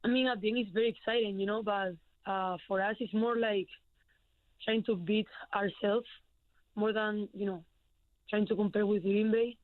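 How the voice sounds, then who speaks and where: A woman's voice: mid-range voice, steady tone, moderate pace, no echo. Spanish-accented young woman on a tinny phone line. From Spain. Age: young adult. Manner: hesitant, many fillers. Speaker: college basketball player, guest. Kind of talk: phone-in radio interview.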